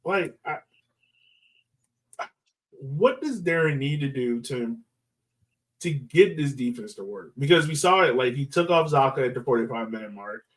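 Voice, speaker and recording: A man's voice: medium pitch, lively intonation, normal speed, long pauses, distant mic, low-quality recording. A man co-hosting a podcast, talking through soccer analysis in an informal, emphatic way full of filler words. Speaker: podcast co-host, soccer fan. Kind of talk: casual sports analysis chat.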